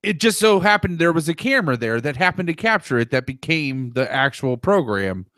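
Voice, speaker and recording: A man's voice: on the high side, big pitch swings, fairly quick, a bit bright, no echo, clean studio sound. A man co-hosting a casual chat about a video. He jokes and halts, stumbling over his words. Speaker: co-host.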